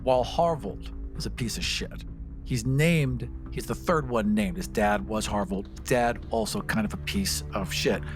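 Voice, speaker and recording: A man playing a tabletop game, chatting casually and improvising story in an expressive way. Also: lowish voice, a little slow, over music.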